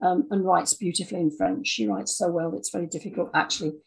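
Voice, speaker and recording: An older woman, over sixty, talking reflectively in an interview, thoughtful and halting as she repeats words. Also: on the low side, steady tone, gravelly, husky, low-quality recording. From Scotland. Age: 60s or older. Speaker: interview guest, audio dramatist.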